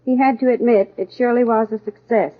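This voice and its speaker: Woman as satisfied housewife, highish voice, read-aloud testimonial, 1940s radio ad, tinny narrow sound. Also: fairly even intonation, fast, natural voice. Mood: pleased.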